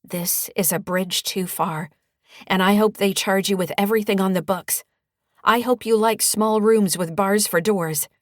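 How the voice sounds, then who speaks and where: A woman's voice: medium pitch, steady tone, a little slow, darkish tone, husky, no echo, clean studio sound, voice pushed up. A woman voicing an outraged, firm older mother in an audiobook, speaking emphatically. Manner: scolding, emphatic. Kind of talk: audiobook character dialogue.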